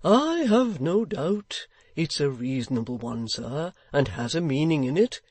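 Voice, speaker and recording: A man's voice: a little high, sing-song, measured pace, no echo, heavily acted. A man reading aloud, voicing a kindly, plain-spoken middle-aged locksmith who talks earnestly and respectfully. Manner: earnest, respectful, gently persuasive. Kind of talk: audiobook character voice.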